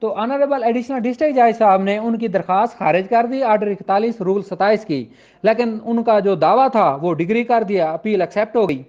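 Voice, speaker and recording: Male law teacher, notably high voice, informal and insistent explainer who restates each point, dull low-fidelity sound. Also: brisk, darkish tone. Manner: emphatic, repeats points for clarity. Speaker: law lecturer. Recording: dull, lo-fi audio.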